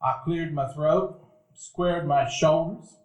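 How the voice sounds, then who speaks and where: An actor narrating a radio play as a grown man recalling his boyhood, in a measured, reflective storytelling manner. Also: a little high, a little mellow, lo-fi sound. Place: dinner theater stage before a live audience. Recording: echoey live stage recording.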